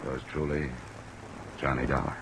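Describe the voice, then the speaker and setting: An actor playing a world-weary insurance investigator in hard-boiled old radio drama, terse, matter-of-fact and probing. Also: male voice, rumbling low, little pitch movement, normal speed, heavy background noise. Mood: weary, dry.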